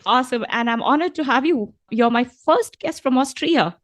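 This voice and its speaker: A woman's voice: high-pitched, lively intonation, normal speed, no echo, studio quality. A woman hosting an interview podcast, greeting her guest in an upbeat, effusive way. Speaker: podcast host.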